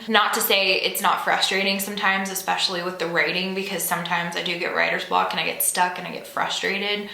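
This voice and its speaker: A woman's voice: fairly low pitch, sharp tone, reverberant, rough recording. A young woman, a singer-songwriter, casually answering fan questions in a chatty, self-deprecating way, with plenty of "like" fillers. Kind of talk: casual Q&A chat. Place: home room.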